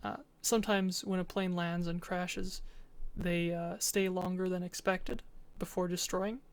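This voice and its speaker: Flat-toned woman giving a project presentation. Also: lowish voice, unhurried, clean tone. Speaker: student presenter. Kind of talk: informal presentation with game demo narration.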